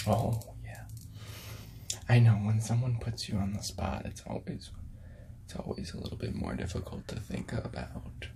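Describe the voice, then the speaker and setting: A man playing a friendly, laid-back hippie record store clerk in a soft-spoken ASMR role-play, often breathy and whispering, with hesitant, casual small talk. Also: lowish voice, sing-song, moderate pace, a little hiss or hum. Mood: relaxed, helpful.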